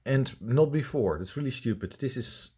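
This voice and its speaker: A man with a Dutch accent giving unscripted critical commentary in a halting, blunt, complaining way. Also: mid-range voice, animated, mellow, husky. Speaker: video reviewer.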